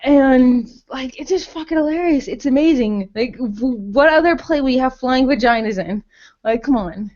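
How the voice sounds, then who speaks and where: Young female podcast co-host, high register, gushing and slangy, muffled voice-chat sound. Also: moderate pace, a little mellow. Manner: gushing, enthusiastic; sweary, slangy.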